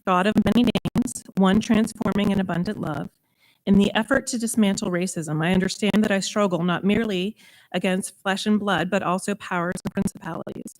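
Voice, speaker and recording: A woman's voice: medium pitch, dry recording, rough recording. Woman leading a read prayer. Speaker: service leader. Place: church service, speaking to a congregation.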